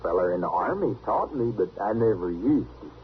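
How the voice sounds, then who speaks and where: An actor playing a naive, stubborn, good-natured backwoods drifter with a rustic Southern drawl, earnest and artless, in old radio western style. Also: male voice, lowish voice, lively intonation, fairly quick, dull audio, lightly acted. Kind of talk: 1950s radio western acting. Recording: band-limited, noisy old radio recording.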